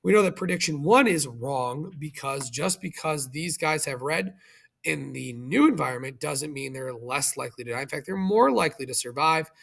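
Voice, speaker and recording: Guy teacher doing a video lesson, kinda higher voice w lots of vocal fry, explains step by step. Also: sharp tone. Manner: addresses viewers directly, guiding step by step. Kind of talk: explanatory video lesson, walking through a review question.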